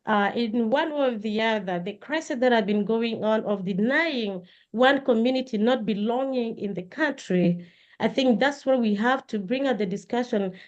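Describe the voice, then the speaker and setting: Congolese woman on a panel call, earnest, lots of uh and um, kinda muffled lo-fi sound. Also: a little high. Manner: earnest, halting with many fillers.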